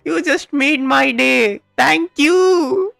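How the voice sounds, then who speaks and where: A man's voice: very high voice, drawn-out words, creaky, bright, forceful tone, no room sound, clean studio sound, faint music behind. A man with an Indian accent chatting casually with viewers on a gaming livestream, informal and hesitant, often repeating himself.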